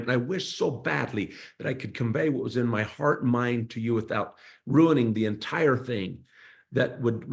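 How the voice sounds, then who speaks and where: A man delivering a fervent, repetitive rallying speech to his company's sellers over an online call. His voice sits at an ordinary middle pitch. He leans hard on his words and keeps pleading with listeners to come. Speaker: company executive addressing distributors.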